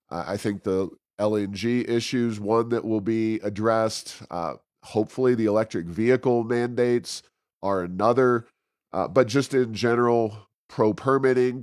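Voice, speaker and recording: American male podcast commentator, lowish voice, measured and halting, very dry recording. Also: dry recording. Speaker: podcast co-host giving political commentary. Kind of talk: conversational political commentary.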